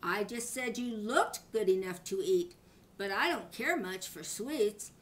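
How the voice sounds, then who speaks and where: A woman's voice: medium pitch, lively intonation, a little slow, recorded from a distance, close to an everyday voice. An older woman voicing a sly, dismissive fox in a storybook, talking in a coaxing way. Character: sly, dismissive male fox offering help.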